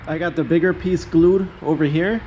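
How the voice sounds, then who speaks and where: A man's voice: on the high side, steady tone, normal speed, dark tone, muffled recording, slight background noise. A man casually narrating a hands-on tool demo to viewers while working on a car in a garage, recorded on a head-mounted camera. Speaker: vlogger reviewing a tool. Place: garage with a car, door open to outdoors.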